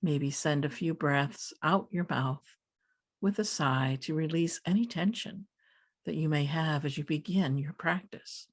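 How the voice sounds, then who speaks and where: A woman leading a guided meditation as a teacher, speaking calmly in deliberate phrases with pauses. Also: sits low.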